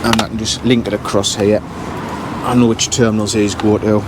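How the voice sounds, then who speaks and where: A man's voice: lowish voice, little pitch movement, moderate pace. A man giving a casual, matter-of-fact repair walkthrough, thinking aloud as he works. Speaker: intercom repair engineer presenting his work. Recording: loud traffic and outdoor street noise.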